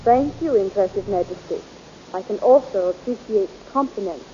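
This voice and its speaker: Actress as a quick-witted young heroine, mellow voice, earnest; 1930s radio serial, muffled recording with faint hiss. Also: female voice, medium pitch, natural voice. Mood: coy. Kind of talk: 1930s radio drama acting.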